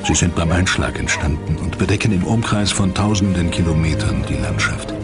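Male documentary narrator, low voice, scripted read over a music bed. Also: animated, fairly quick. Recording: music behind the voice.

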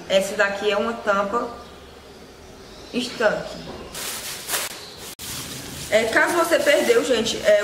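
A woman, casually presenting products to camera as a vlogger and seller. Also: medium pitch. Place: small indoor room with shelves. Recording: echoey room with loud background noise.

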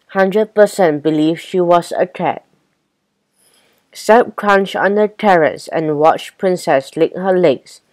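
Female children's audiobook narrator, slightly low pitch, unhurried scripted read, dry recording. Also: darkish tone, dry recording. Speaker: storybook narrator.

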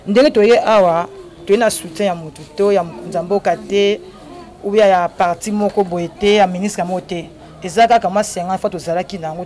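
A woman's voice: medium pitch, a little mellow. Earnest woman preaching outdoors. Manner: earnest, preaching tone, tearful at times. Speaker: NGO president visiting an orphanage. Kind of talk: impromptu speech to a crowd. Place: outdoor courtyard of an orphanage. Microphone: handheld microphone.

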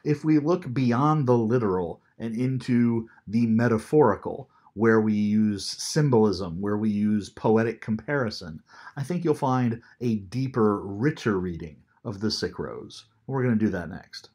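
Man, 50s, home webcam lecturer; low, unhurried, reflective delivery. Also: a little mellow, breathy edge. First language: English. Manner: unhurried, thoughtful, many ums, reads poetry aloud slowly. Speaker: teacher. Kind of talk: recorded classroom lecture. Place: small room at home, seated in armchair.

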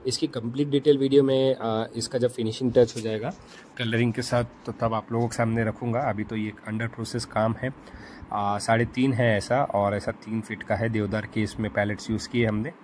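A man hosting his own farm channel, narrating a casual voice-over in a relaxed way, often pausing with fillers. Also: medium pitch, slight background noise. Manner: relaxed, hesitant with frequent fillers. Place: home studio, recorded separately as voice-over.

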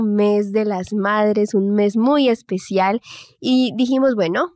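Female podcast host, organized and welcoming, mid-pitched, dry studio mic. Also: darkish tone, dry recording. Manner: welcoming, structured presenter. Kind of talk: hosting a video podcast.

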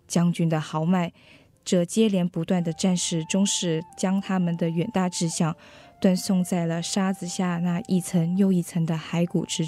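Grave low-toned young female narrator played by a student. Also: dark tone, close to an everyday voice. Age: teenager. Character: grave, elegiac narrator of fallen soldiers' fate. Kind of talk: scripted radio drama narration in Mandarin.

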